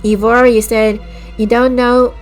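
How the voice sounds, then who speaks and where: A woman streamer reading a visual novel aloud with a slightly raspy voice, restarting over stumbled words, clearing her throat often and breaking off into disgusted asides to her chat. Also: fairly high pitch, level tone, normal speed. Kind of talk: slow dramatic read-aloud with casual asides to chat. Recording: music playing clearly behind the voice.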